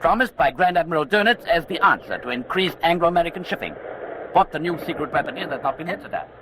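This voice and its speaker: An actor playing a professionally blithe, boastful wartime propaganda announcer in a radio drama. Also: male voice, on the high side, animated, fast, fairly bright tone, a little hiss or hum, poor audio.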